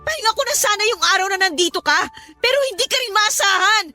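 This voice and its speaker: A voice actress playing an exhausted young mother who scolds her husband in a tearful, shrill way. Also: animated, fast, sharp tone, full character voice. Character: exhausted young mother, sharp-tongued and resentful. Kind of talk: Tagalog radio drama acting. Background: music.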